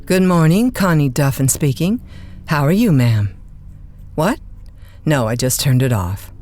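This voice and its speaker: A woman's voice: sits low, very animated, a little slow, a little mellow, a little hiss or hum. An actress playing a brash, flustered woman disc jockey on the overnight shift in a radio drama, with wisecracking, folksy, exasperated old-time patter. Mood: strained politeness. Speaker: radio host.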